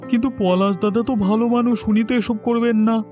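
A man's voice: very high voice, steady tone, quick pace, darkish tone. A man playing a dutiful, authoritative village police officer in a Bengali cartoon, speaking in a self-assured, official manner. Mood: resolute. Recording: music behind the voice.

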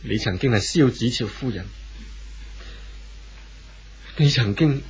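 A forgiving, well-to-do husband played by a man speaks gently in a Hong Kong Cantonese accent, at a middling pitch. It is 1970s radio drama acting on an old recording with faint noise. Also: a little fast, close to an everyday voice, rough recording. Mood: sad, restrained. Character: wealthy, forgiving husband parting gently from his wife.

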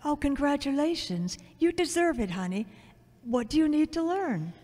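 A woman playing a fond, gently teasing older mother in an audio drama. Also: high-pitched, sing-song, normal speed. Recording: long echoey reverb.

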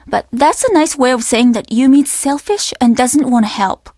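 High-voiced, polite young innkeeper. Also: female voice, lively intonation, moderate pace, dark tone, dry recording, studio quality. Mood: resentful. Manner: earnest, apologetic, formally polite. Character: polite, troubled young innkeeper woman at a Japanese inn. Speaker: voice actor in a video game. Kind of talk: video game character dialogue.

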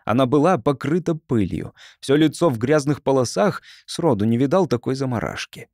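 A male audiobook narrator reads fiction expressively. His voice is mid-range with lively intonation, and the recording is dry, with no room sound. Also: mid-range voice, normal speed, dry recording.

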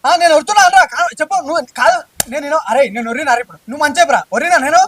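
A man's voice: extremely high pitch, moderate pace, slight background noise. A young man with a Telangana Telugu accent playing a broke, dramatic village lad in a comedy skit. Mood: indignant.

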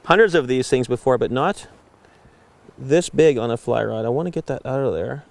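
A middle-aged man hosting a fishing show on location, wading in a river and exclaiming excitedly over his catch. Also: mid-range voice, moderate pace, creaky, no room sound. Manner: excited, exclaiming, laughing often. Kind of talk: on-location casual chat.

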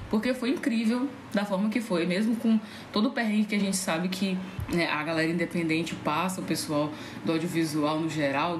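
A woman with a Brazilian accent chatting casually on a podcast, telling stories in a colloquial way. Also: on the low side. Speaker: podcast guest, musician. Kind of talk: casual chat. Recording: echoey room, clear background noise.

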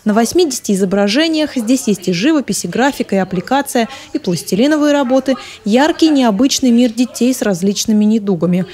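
Mellow higher-voiced woman news reporter. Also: animated, brisk, few pauses. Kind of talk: scripted news narration.

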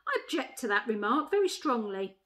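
A woman's voice: fairly high pitch, sing-song, brisk, fairly bright tone. A woman voicing a blunt, easily offended male bulldog in a storybook, speaking emphatically. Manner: emphatic, indignant.

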